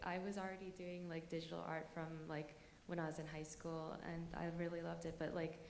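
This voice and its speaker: A woman's voice: fairly low pitch, a little mellow, a little hiss or hum. A woman artist talking casually to an audience, rambling with lots of fillers and nervous little laughs. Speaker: artist panelist. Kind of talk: casual talk to a live audience. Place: conference hall. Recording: echoey room with faint ambience.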